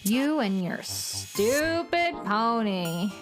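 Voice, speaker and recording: A woman's voice: a little high, big pitch swings, drawn-out words, faint music behind, exaggerated voice. A woman playing a petulant, bratty girl troublemaker in a children's radio comedy, sneering and taunting with sarcasm. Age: child figure.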